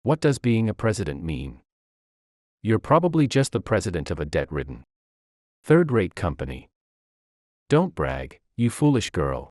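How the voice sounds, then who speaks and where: A man's voice: lowish voice, sing-song, a little slow, pauses often, a little mellow, no room sound, clean studio sound. A man voicing an arrogant, mocking banker's son who boasts and belittles others in a narrated story. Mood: indignant. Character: arrogant adult male bully, sneering banker's son.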